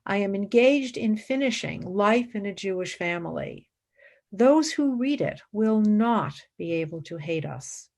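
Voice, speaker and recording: A woman's voice: mid-range voice, expressive, vocal fry, darkish tone. A woman playing a devout, stubborn, impassioned nun and philosopher in a radio play, speaking in an earnest, formal way. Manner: earnest, formal, deliberate, argumentative.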